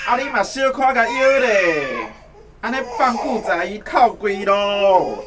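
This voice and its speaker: An impatient Taiwanese bus driver in a radio drama. The voice is raised and irritated, swinging in pitch over a noisy background. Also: female voice, sing-song, unhurried, shouting. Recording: noisy background sound effects.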